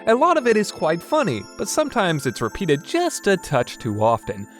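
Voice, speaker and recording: A man's voice: high voice, huge pitch swings, normal speed. A man delivering a semi-scripted review commentary in a conversational, opinionated way, like a podcast host. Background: background music.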